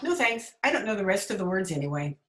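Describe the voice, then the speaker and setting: A wisecracking high school teacher, played by a woman in an old radio comedy. Her dry, sardonic lines ride on very animated pitch, with clear noise in the remote recording. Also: fast, lightly acted. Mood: wry. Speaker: lead character and narrator. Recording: noisy remote video-call recording.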